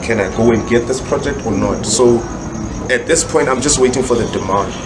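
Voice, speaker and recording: A man's voice: mid-range voice, a little fast, heavy background noise. A man, a guest artist on a podcast, chatting casually and repeating himself for emphasis with plenty of slang. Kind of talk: casual chat. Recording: music behind the voice.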